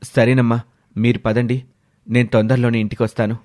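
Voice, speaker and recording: A man's voice: mid-range voice, flat delivery, fairly quick, a little mellow, no room sound, studio quality, close to an everyday voice. A man voicing a selfish young husband in a story, exasperated and self-pitying. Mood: subdued. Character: selfish young husband shirking his responsibilities.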